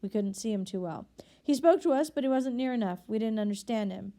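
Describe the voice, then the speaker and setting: A woman's voice: fairly high pitch, a little fast, dark tone, close to an everyday voice. A woman reading aloud and voicing a desperate forty-six-year-old ex-convict man who stammers and pleads. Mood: rambling, earnest. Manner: stammering, pleading, tumbling words.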